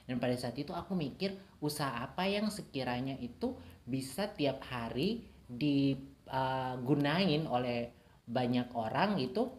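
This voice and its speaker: A woman's voice: rumbling low, lively intonation, measured pace, darkish tone. A woman from Papua, Indonesia, chatting casually in a joking, teasing way as a business owner being interviewed. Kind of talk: casual chat. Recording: echoey room.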